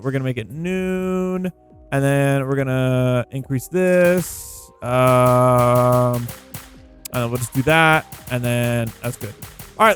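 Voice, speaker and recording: A man giving casual gameplay commentary, thinking out loud in an informal way. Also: fairly high pitch, smooth. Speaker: gaming video creator. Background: background music.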